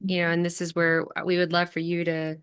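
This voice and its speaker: A woman hosting a stage conversation who talks haltingly and reflectively, with restarts. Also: on the low side, completely flat delivery, a bit bright, clean tone. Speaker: event host.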